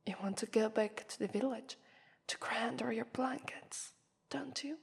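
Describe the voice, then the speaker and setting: A woman with an Italian accent voicing a haughty, taunting vampire mistress in an ASMR roleplay, breathy, condescending and often whispering. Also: on the high side, normal speed, heavily acted. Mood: mocking, taunting. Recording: long reverberant echo.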